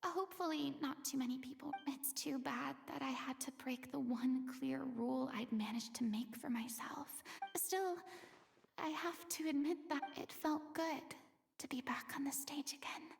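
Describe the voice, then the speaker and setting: A woman's voice: very high voice, lively intonation, unhurried, fairly bright tone, slight background noise, heavily acted. A woman voicing a proud, easily flustered young stage actress in a video game, with a theatrical manner. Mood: wistful relief. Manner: theatrical, hesitant when flustered. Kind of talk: animated game voice acting.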